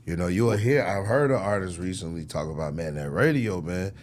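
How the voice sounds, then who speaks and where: A man's voice: deep voice, animated, brisk. Emphatic man talking shop, slangy and persuasive. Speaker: record executive, interview guest. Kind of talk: casual, passionate shop talk.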